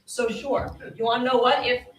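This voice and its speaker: Female panelist, higher-pitched, quick and emphatic, recorded at a distance. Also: flat delivery, slight background noise. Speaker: sports journalist and panelist. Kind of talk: live panel sports discussion. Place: live convention panel stage. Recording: echoey live room, distant from mic.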